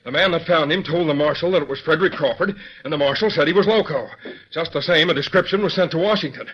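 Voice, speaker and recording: An actor playing an anxious, folksy henchman in an old radio drama, with a breathy voice. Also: on the high side, quick pace. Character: nervous male henchman and debt collector. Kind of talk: 1940s radio acting. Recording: dull old radio recording.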